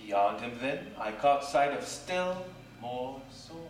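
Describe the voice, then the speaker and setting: A woman performing a literary story reading live in a theater, with deliberate, weighty, dramatic phrasing. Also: extremely low pitch, animated. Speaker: reader in a staged ensemble reading. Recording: echoey distant live theater recording with music. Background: music and sound design.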